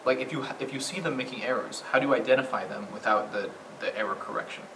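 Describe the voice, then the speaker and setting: A man's voice: medium pitch, fast. A man in a training session asking a long, hesitant question full of fillers and restarts. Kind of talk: discussion questions. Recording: roomy distant mic with room noise.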